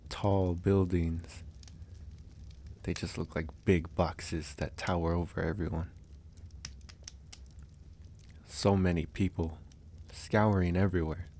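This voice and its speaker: A man playing a gentle, reassuring young werewolf who lives alone on a mountain, soft-spoken in an ASMR roleplay. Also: sits low, steady tone, measured pace. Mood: wistful, calm.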